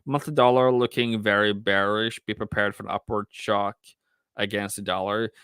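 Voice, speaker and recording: A man with a Norwegian accent hosts a live trading stream in a lowish, smooth, clear voice. He thinks aloud, circling back and restarting sentences with plenty of fillers. The sound is close and dry, with no room around it. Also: fairly even intonation, normal speed, a bit bright, dry recording. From Norway. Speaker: trading livestream host. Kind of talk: casual livestream commentary.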